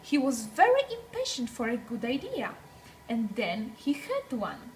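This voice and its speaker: A woman's voice: on the high side, huge pitch swings, measured pace, a little hiss or hum, rough recording. A woman reading a picture book aloud to young children, expressively, with dramatic phrasing and emphasized words. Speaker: children's story reader.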